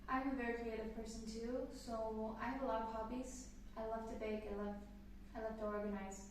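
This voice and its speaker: A woman's voice: fairly high pitch, level tone, fairly quick, heavy reverb, recorded from a distance, a little hiss or hum, low-quality recording. A woman in her twenties, from Canada, hosting a casual sit-down Q&A chat, upbeat and rambling with fillers. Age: 20s. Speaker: channel host.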